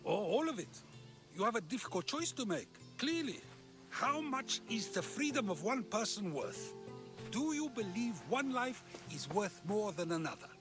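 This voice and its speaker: A man's voice: high voice, sing-song, normal speed. A man playing a playful, probing philosopher in a video game, theatrical and teasing. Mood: amused, teasing. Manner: theatrical, teasing, rhetorical questioning. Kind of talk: video game cutscene acting. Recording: music behind.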